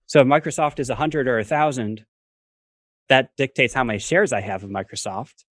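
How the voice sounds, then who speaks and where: A man with a middle-range voice talks as a guest professor on a video call. He explains things methodically, working through examples in a measured way. The sound is dry, with little room around it. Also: medium pitch, dry recording. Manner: measured, reasons step by step with worked examples. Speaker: finance professor, guest expert. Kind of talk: explanatory talk on a livestream.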